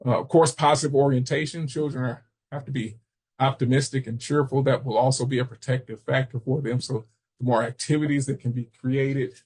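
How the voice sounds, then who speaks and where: An American man from Chicago giving an informal training webinar, explaining haltingly with personal asides. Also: medium pitch, steady tone, a little mellow, slightly rough. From Chicago, United States. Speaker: webinar presenter, substance misuse prevention trainer.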